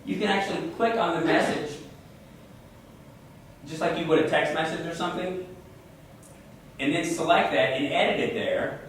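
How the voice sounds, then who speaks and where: An echoey, roomy recording of a man explaining tech tips to a group, caught from well back with a faint hiss underneath. He talks in a loose, halting way and doubles up words as he thinks. His pitch sits right in the middle for a man. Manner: conversational, halting with repeated words. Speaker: presenter at a computer user group. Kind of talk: informal tech tips talk answering questions. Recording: echoey room, mic far off.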